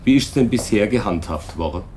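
Man as low, folksy village policeman. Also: lively intonation, brisk, a little mellow, slight background noise, lightly acted. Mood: placating. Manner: placating, colloquial. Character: unhurried small-town policeman, folksy and dismissive. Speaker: actor in a radio play. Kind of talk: 1980s German radio drama dialogue.

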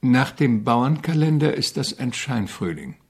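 An actor playing a weary, courteous and evasive famous composer in a radio play, with a deadpan delivery. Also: male voice, mid-range voice, measured pace, slightly rough.

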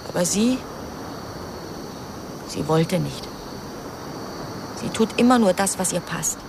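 A woman's voice: lowish voice, animated, slow pace, vocal fry, background noise, heavily acted. An actress playing a resentful young woman confiding secrets hesitantly in a radio crime drama. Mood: confiding, bitter.

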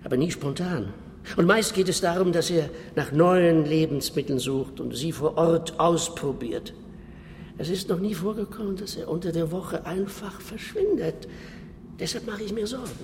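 A man's voice: a little high, normal speed, a little mellow, close to an everyday voice. An actor playing an earnest, talkative middle-aged wine merchant and father in a radio play, explaining himself. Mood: worried, earnest. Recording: reverberant cellar-like room, faint noise.

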